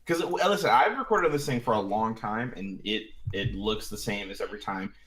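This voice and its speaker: A man talking casually on a podcast, rambling in halting bits. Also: fairly low pitch, fairly bright tone, rough recording. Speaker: podcast regular. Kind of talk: casual chat.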